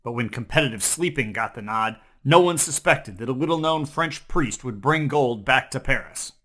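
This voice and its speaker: A man's voice: medium pitch, lively intonation, moderate pace, bright, forceful tone. A man hosting a comedy newscast, reading scripted parody headlines in a mock-serious newscaster manner. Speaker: comedy news host. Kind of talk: scripted parody news narration.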